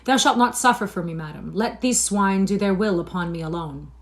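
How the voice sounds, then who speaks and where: A woman's voice: on the low side, lively intonation, brisk, a little mellow. A woman reading aloud and voicing a proud, indignant boy prince who speaks with stiff formal dignity.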